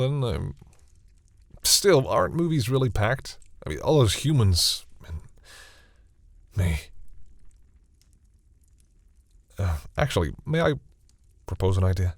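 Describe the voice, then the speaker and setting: Guy doing a low voiced vampire boyfriend for audio roleplay, bashful n stammery, protective, really dry close sound. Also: lively intonation, normal speed, no room sound. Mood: hesitant, earnest. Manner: hesitant, stammering, easily embarrassed. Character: flustered, shy, protective male vampire boyfriend. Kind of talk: intimate audio roleplay, acted one-sided dialogue.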